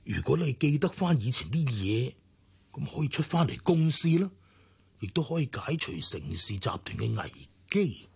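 A husky, rough-edged voice with a lively, swinging pitch, a worried middle-aged director who keeps sighing and urging. He speaks with a Hong Kong Cantonese accent in a radio drama. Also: moderate pace, full character voice. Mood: urgent, pleading. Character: anxious, pleading older company director.

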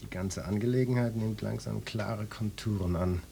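An actor playing a self-important hard-boiled police detective in a satirical radio play, with a husky voice and a pompous, pedantic, portentous way of talking. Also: male voice, fairly low pitch, moderate pace, warm tone, slight background noise. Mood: suspicious, pompous. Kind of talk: German satirical radio drama acting.

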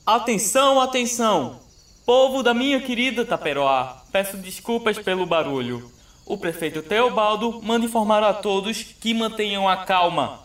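Man as a high-pitched, fawning town crier. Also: normal speed, fairly bright tone, far from the mic. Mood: proclaiming. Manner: declamatory, calling out. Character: loud, fawning town crier serving the mayor. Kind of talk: radio drama proclamation.

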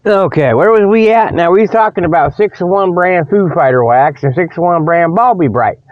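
Folksy rambling man, slightly high-pitched, lo-fi. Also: expressive, moderate pace, no room sound. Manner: folksy, colloquial, meandering, often losing the thread. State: slight frog in the throat from a lingering cold. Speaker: host of a humorous report show. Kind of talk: rambling comic radio monologue with mock sponsor pitches.

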